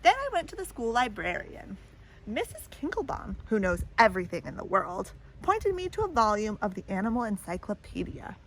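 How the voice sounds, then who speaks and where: A woman reading a children's picture book aloud in an animated way, pausing to put questions and little comments to young listeners. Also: mid-range voice, slight background noise, low-quality recording. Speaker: storybook reader.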